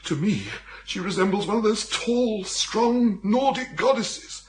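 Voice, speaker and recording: A man's voice: high-pitched, unhurried, slight background noise. An actor playing a small, lovesick young Englishman in a radio comedy, hungover, stammering, melodramatic and full of self-pity. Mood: lovesick, despairing. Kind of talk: radio comedy drama acting.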